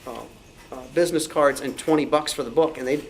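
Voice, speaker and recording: A man's voice: a little high, steady tone, quick pace, a little hiss or hum, rough recording. A man talking informally and answering questions as a speaker at a club meeting. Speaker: club meeting speaker, author and convention organizer.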